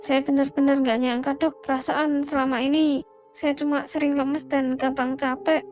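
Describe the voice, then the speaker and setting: A woman playing a worried, hesitant, polite young patient in an animated drama. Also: sits high, normal speed, lightly acted. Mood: worried, downcast. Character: worried, poor young woman patient receiving grim news. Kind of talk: animated horror drama dialogue. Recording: voice over prominent background music.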